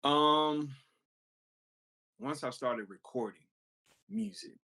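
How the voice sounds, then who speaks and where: A man who raps, answering interview questions in a relaxed, conversational way about recording music. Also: fairly high pitch, slow, slightly rough. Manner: relaxed, explains with many "you know what I'm saying". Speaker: rapper guest. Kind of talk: casual interview talk.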